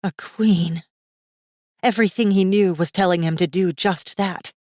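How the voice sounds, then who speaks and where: A woman narrating a fantasy audiobook in a measured, suspenseful manner with deliberate pauses. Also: lowish voice, steady tone, a little mellow, no echo, clean studio sound. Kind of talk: scripted dramatic fiction narration.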